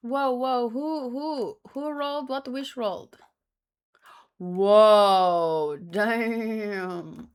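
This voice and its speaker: A woman's voice: fairly high pitch, drawn-out words, smooth. A woman chatting casually as a streamer, thinking aloud in a rambling, exclamatory way. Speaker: manga read-along streamer.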